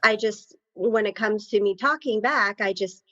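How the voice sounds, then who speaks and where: A woman in her thirties who grew up in Thailand talks as a podcast guest over a muffled, lo-fi remote line. She is chatty, laughs often and corrects herself mid-sentence. Her voice sits at a middle pitch, with a dry sound and no room around it. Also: moderate pace, fairly bright tone, no room sound. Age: 30s. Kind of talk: casual interview chat.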